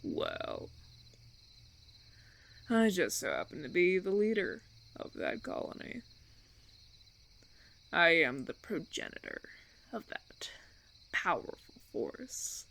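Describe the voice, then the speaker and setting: A man playing a suave, condescending vampire colony leader in a roleplay audio drama, theatrical and teasing, with drawn-out phrasing. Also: high-pitched, wildly swooping pitch, gravelly, bright, forceful tone, full character voice. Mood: proud, grandiose.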